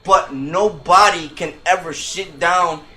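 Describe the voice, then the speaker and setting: A man with a high, bright voice rambles emphatically through a casual podcast chat. He keeps checking that his listeners follow him. The sound carries a roomy echo. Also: takes it slowly, soft background music. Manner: rambling, emphatic, keeps asking "you feel me". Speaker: podcast co-host.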